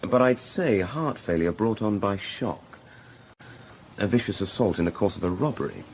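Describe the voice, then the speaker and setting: A candid British hospital doctor in a radio drama. He is a man with a fairly low voice who talks plainly and conversationally, with faint ambience behind him. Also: expressive, normal speed, slight background noise, low-quality recording. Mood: matter-of-fact. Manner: matter-of-fact, conversational. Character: brisk, candid male hospital casualty doctor. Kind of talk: naturalistic radio drama acting.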